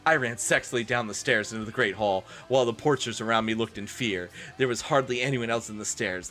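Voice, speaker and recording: A man with a bright, forceful voice reads fan fiction theatrically for a live stream. He often slips out of the story into amused comments, with music playing behind him. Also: fairly low pitch. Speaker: streamer doing a dramatic reading.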